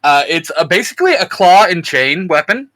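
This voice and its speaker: A man co-hosting in casual chat, talking haltingly and often correcting himself. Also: high-pitched, very animated, fairly bright tone. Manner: hesitant, halting, self-correcting. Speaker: co-host.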